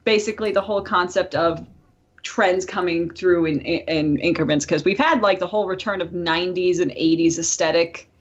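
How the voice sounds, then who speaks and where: A woman's voice: on the low side, moderate pace. Chatty woman podcaster, slightly dull audio. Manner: chatty, informal, swears freely. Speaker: podcast co-host. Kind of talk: casual podcast chat.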